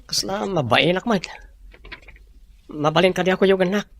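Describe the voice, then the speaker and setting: A man's voice: sits high, moderate pace, gravelly. An actor with a Filipino accent playing a remorseful, ashamed young man in a radio drama.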